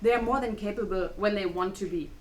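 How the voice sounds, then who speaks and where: A woman acting a snobbish, fiery pregnant Cuban wife in a dramatic play reading, expressive, with Spanish exclamations mixed in. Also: medium pitch, fast, lightly acted. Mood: indignant. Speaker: student actor. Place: indoor room, live play reading. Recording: echoey room with faint background noise.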